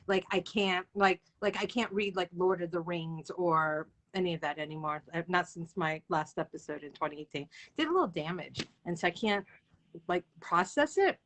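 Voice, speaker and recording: A woman's voice: on the low side, normal speed, a bit bright, rough recording. A woman hosting a casual, informal live interview, chatting freely and often laughing as she talks. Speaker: interview host.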